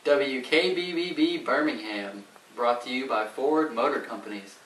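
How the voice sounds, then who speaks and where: A man's voice: mid-range voice, normal speed, a bit bright, close to an everyday voice. A man portrays a solemn male 1920s radio correspondent with scripted, formal delivery. He has a mid-range voice, heard away from the mic in an echoey room with faint noise. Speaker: news reporter. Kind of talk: scripted newscast reading, amateur period skit. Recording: roomy, distant, faintly noisy.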